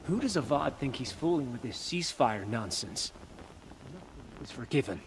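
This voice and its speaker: A man's voice: medium pitch, animated, moderate pace, a crowd in the background, close to an everyday voice, poor audio. A man playing a distrustful, disdainful townsman in a video game. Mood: scornful. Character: disdainful, distrustful male citizen of fantasy city. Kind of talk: video game background dialogue.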